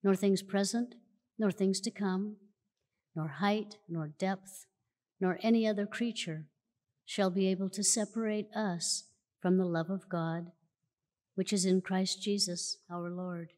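A woman reading scripture aloud at a church lectern in a measured, solemn way, recorded in a large church auditorium. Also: mid-range voice, fairly even intonation, a little mellow. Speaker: church reader. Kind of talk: slow formal scripture reading. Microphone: lectern mic from a far camera. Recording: long church hall reverb.

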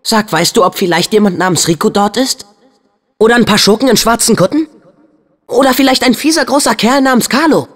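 A man playing an upbeat, brave, earnest teenage boy hero in a video game dub, with an eager, expressive, youthful delivery. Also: high voice, fairly quick, long reverb tail. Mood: alarmed.

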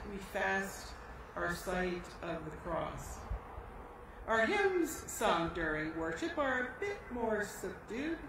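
Older american lady pastor preaching outside, kinda wry, really echoey and far from the mic. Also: mid-range voice. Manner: wry, dryly humorous. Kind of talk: sermon, read with conversational asides. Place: outdoors at a lectern outside a church. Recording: very echoey, distant, clearly noisy outdoor recording.

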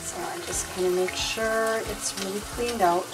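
A woman's voice: mid-range voice, normal speed, low-quality recording. A middle-aged woman in a home kitchen, casually explaining step by step how she cooks a dish. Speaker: home cook teaching a recipe. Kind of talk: casual cooking demonstration. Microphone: camera mic. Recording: music playing under voices.

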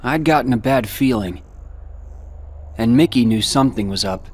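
A man's voice: mid-range voice, lively intonation, normal speed, natural voice. An actor playing a cool, brooding older teenage boy in a video game, with a reserved, serious, understated delivery. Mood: pensive. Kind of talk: English video game voice acting.

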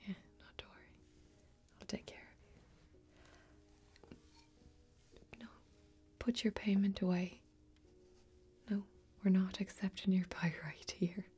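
Woman acting a whispery, mellow, kindly warrior woman. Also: medium pitch, level tone, slightly rough. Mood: reassuring. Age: young adult. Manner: whispery, gentle, folksy, reassuring, slow with pauses. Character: kindly, protective woman warrior of a fantasy land. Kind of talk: soft-spoken ASMR fantasy role-play.

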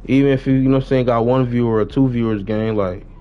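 Young American male streamer, casual slangy talk with repeated phrases, medium pitch, some noise in the recording. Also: fairly even intonation, fast, dull audio. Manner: repeats phrases and uses heavy street slang. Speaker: live game streamer. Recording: noisy live stream with game sounds.

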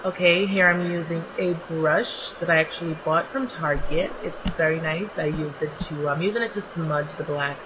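Slightly raspy young woman narrating makeup. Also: low-pitched, normal speed, hardly any pauses, background noise. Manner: relaxed, chatty, with many ums. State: just woken up. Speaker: beauty vlogger. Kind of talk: voice-over narration of a makeup tutorial. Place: small home bathroom.

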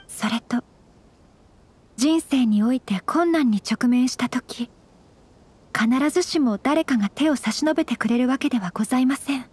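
An actress playing a dignified young noblewoman in a Japanese game dub, formal, courteous and composed, gentle but firm. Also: high voice, normal speed, husky. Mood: calm, earnest. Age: young adult.

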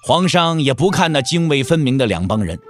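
A man's voice: medium pitch, big pitch swings, brisk, faint music behind. A man narrating an audio drama as a dramatic storyteller, with emphatic, theatrical phrasing.

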